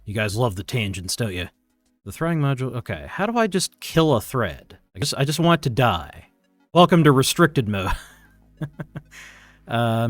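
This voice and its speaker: A man thinking aloud in casual, rambling, self-deprecating commentary as he live-streams his programming. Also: medium pitch. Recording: music playing behind the voice.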